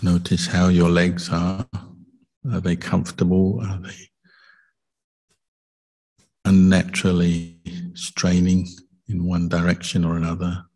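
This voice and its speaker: A man leading a guided meditation as a teacher, speaking calmly and gently with deliberate pauses between short phrases. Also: low-pitched, poor audio. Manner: calm, deliberate, gentle instruction with long pauses. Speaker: Buddhist monk meditation teacher.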